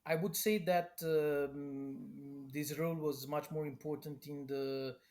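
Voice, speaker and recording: A middle-aged man speaks in a slightly raised register, working through his answers at an unhurried pace. He is an academic giving a considered interview, pausing often as he searches for each phrase. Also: a little high, steady tone, darkish tone. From Romania. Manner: halting and careful, many hesitations. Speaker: professor of European studies, guest.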